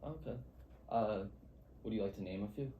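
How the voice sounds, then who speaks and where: A man's voice: fairly low pitch, moderate pace, dark tone. A man working through interview questions with a hesitant delivery. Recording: distant mic, faint background noise.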